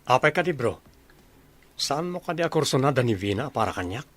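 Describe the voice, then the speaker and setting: A man's voice: medium pitch, very animated, fairly quick, a bit bright. A man playing a teasing young buddy in radio drama dialogue. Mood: earnest.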